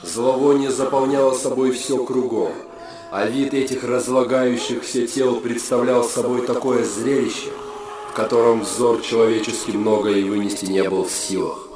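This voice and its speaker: Actor as a grave chronicler over noisy ambience. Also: male voice, mid-range voice, fairly even intonation, normal speed, close to an everyday voice. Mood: grave, bleak. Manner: grave, declamatory storytelling. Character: male chronicler solemnly recalling disasters of old. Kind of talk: literary radio drama narration. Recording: clear background ambience noise.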